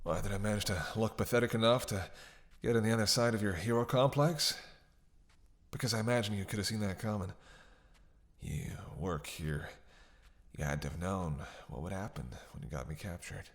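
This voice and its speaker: Man playing a low, gravelly, teasing vampire. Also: normal speed, no room sound. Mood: probing, sardonic. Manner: playful, sardonic, murmuring asides and sighs. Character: teasing, witty male vampire, flirtatious and weakened after captivity. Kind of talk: intimate scripted audio roleplay.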